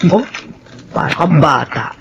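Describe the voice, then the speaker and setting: Older motherly prison worker, fond and scolding, lively intonation, for Filipino radio drama. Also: low-pitched, a little slow, vocal fry, noisy background, heavily acted. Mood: teasing, fond. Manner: fussy, animated scolding.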